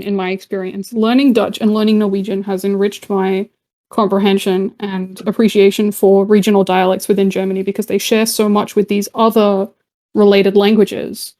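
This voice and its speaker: Woman, casual voice-chat participant, mellow tone, rambly and chatty. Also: medium pitch. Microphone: home computer mic on voice call.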